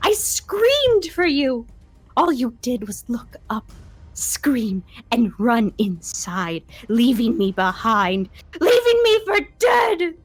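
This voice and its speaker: Woman putting on a piercing ghost girl, vengeful, taunting, very high, dry close sound. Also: very animated, slow pace, hardly any pauses, no room sound, soft background music, full character voice. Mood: accusing.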